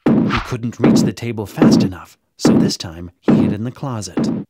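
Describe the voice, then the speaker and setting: A man narrating a scripted children's bedtime story in a dramatic, expressive way. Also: lowish voice, moderate pace, very noisy. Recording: music under the narration. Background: music.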